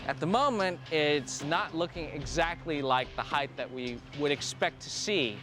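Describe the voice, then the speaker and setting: A man's voice: fairly high pitch, moderate pace, music in the background. A man on a test team counting down and calling out results at an outdoor test site, upbeat.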